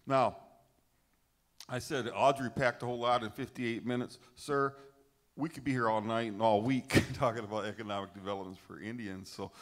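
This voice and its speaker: Earnest, rambling man with a low voice. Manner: earnest and rambling, repeats words for emphasis. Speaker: panelist at a film screening discussion. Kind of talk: unscripted panel answer.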